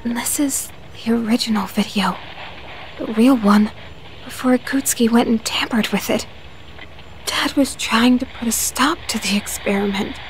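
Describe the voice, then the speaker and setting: A woman voicing an earnest, shaken schoolgirl in an anime game, hushed and emotional. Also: a little high, normal speed, a little hiss or hum, close to an everyday voice, poor audio.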